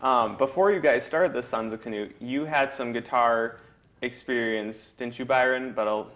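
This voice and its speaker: A man's voice: medium pitch, moderate pace, a bit bright. A man hosting a casual local interview, asking questions informally with short acknowledgements. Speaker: interviewer, host.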